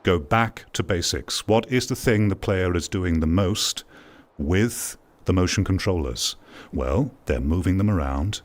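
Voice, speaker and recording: A man's voice: low voice, a little slow. A man giving opinionated commentary to viewers, emphatic and repeating words for stress. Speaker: video host, VR commentator.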